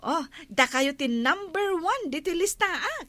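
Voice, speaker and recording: A woman with an Ilocano accent playing an excitable, giggly, gossipy village woman in a comedy radio drama. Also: very high-pitched, unhurried, no echo, full character voice. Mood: teasing, excited.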